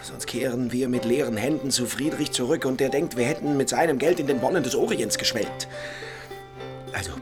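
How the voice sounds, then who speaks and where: An actor playing a blustering, indignant adventurer, scornful and exclamatory, in a German radio drama. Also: male voice, mid-range voice, fairly quick, music in the background, lightly acted.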